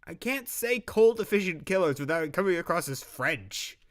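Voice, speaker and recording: A man's voice: high-pitched, expressive, moderate pace, a bit bright. A man who voice-acts as an amateur, muttering casual, self-critical asides to himself between takes. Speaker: amateur voice actor recording lines.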